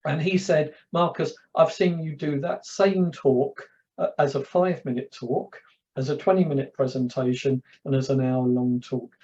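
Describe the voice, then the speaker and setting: A man's voice: on the high side, fairly even intonation, moderate pace, darkish tone, lo-fi sound. A man from London with a London accent, a public speaking coach chatting as a guest and telling anecdotes, often repeating words as he starts. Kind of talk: casual interview chat.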